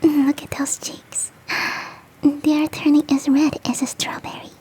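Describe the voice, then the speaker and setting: A woman voicing a big, fluffy, motherly and flirty yeti in a soft, teasing, whispered ASMR roleplay. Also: high-pitched, level tone, a little slow, rough recording. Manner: tender, teasing, coaxing.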